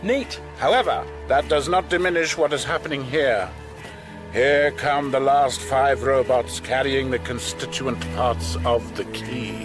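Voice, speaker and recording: An actor playing a pompous, grave old alien starship commander in a radio drama. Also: male voice, mid-range voice, measured pace, loud music behind the voice, natural voice. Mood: lecturing.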